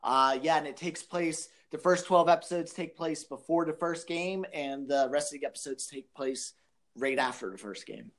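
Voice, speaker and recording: A man's voice: fairly high pitch, normal speed. A man co-hosting a podcast, speaking in a casual conversational way. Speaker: podcast co-host.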